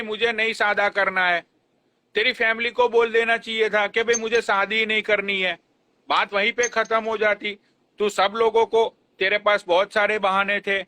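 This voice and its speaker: A man's voice: high voice, bright, forceful tone, poor audio. A young man hosting a casual live stream, speaking in an emphatic, repetitive, admonishing way. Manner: emphatic, repetitive, informal pleading.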